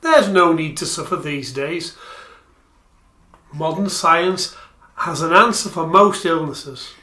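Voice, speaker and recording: A man acting in a radio play as a sober, matter-of-fact character with a measured delivery. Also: on the high side, sharp tone. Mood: reassuring. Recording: echoey room.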